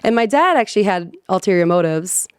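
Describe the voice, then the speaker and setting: A woman's voice: medium pitch, lively intonation, fairly quick, clean tone, no room sound, clean studio sound. A woman in her thirties, a professional singer, telling stories animatedly with many fillers and frequent laughter in a podcast interview. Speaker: guest, professional vocalist. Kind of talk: casual storytelling in a podcast interview.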